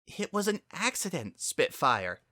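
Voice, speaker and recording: Man putting on a high, stubborn young mare. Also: very animated, measured pace, dry recording, clean studio sound, heavily acted. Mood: agitated, pleading. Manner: sharp-edged, agitated. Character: young female pony, hurt, pleading and stubborn.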